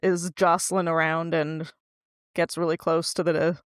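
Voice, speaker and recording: Low-pitched woman chatting, close dry sound. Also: flat delivery, measured pace, no room sound. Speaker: podcast co-host. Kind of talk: casual book discussion chat.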